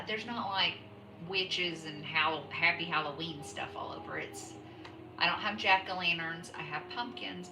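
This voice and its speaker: A middle-aged woman with a low voice rambles through a casual craft chat, breaking off and restarting her sentences. She sits back from the mic in an echoey room, with music playing behind her. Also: distant mic. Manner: rambling, chatty, self-interrupting. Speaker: craft livestream host. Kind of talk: casual chat while knitting. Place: home.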